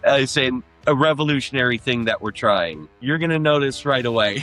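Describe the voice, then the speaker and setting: A man's voice: medium pitch, expressive, moderate pace, a bit bright, faint music behind. A man co-hosting a podcast, chatting and asking questions casually, with a rambling, tangential way of talking. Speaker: podcast co-host. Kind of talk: casual chat, interviewing.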